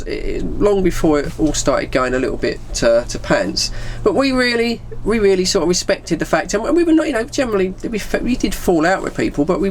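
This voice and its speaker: A middle-aged English man tells stories in a casual, rambling way. His voice sits a little high and moves around a lot in pitch, with faint noise behind him. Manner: informal British slang, acts out bits of dialogue. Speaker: vlogger telling personal stories. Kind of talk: casual rambling anecdote chat.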